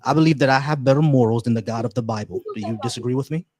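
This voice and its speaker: A man chats loosely on a live stream, welcoming viewers and trailing into little restarts. His voice sits at an average pitch for a man. The tone stays casual and unhurried. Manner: laid-back, shout-outs to viewers, many restarts. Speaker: livestream host.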